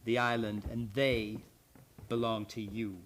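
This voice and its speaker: An actor playing a grim, blunt old island retainer in a radio play, with rustic, archaic phrasing full of ayes. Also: male voice, lowish voice, little pitch movement, a little slow, very noisy, natural voice. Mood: blunt, somber.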